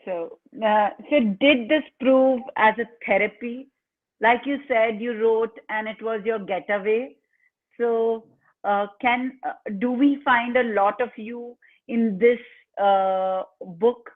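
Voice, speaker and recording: Slow-speaking woman host. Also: on the high side, steady tone. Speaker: interview host. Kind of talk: book interview. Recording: tinny phone-like audio.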